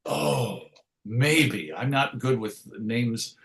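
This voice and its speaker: A middle-aged man with a medium-pitched voice reminisces as an interview guest. He talks casually and tells his stories in a chatty, wry way. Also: sing-song, extremely slow, fairly bright tone. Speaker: interview guest, comics writer and editor.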